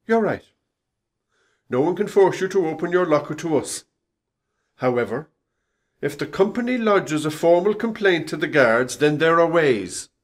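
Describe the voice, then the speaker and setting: An actor playing a stern, suspicious company boss in a radio play, speaking formally and curtly. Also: male voice, fairly high pitch, animated, moderate pace, long pauses. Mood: brisk, firm. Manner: formal, curt, authoritative.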